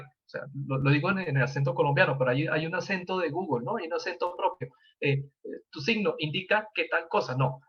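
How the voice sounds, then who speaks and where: Husky-voiced man explaining emphatically. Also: a little high. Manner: emphatic, repeats words and phrases. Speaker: priest speaking on a panel. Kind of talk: explanatory talk with check-in questions. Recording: slightly dull, lo-fi sound.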